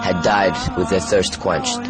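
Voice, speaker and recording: A man's voice: low voice, steady tone, a little slow. Earnest male preacher over music. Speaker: Islamic preacher. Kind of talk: sermon with storytelling.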